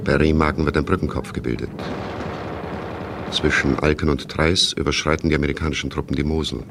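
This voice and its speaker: A man's voice: a bass voice, steady tone, a little fast, vocal fry. A man delivering sober, factual, documentary-style scripted narration in a radio play. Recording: noisy, war sounds behind.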